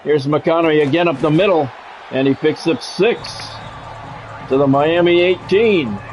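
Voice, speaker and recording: A man with a somewhat high-set voice commentates a football video game like a broadcast announcer. He speaks in short, clipped phrases built for big plays and game situations. Music plays faintly behind him. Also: a little mellow. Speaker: video game streamer doing play-by-play.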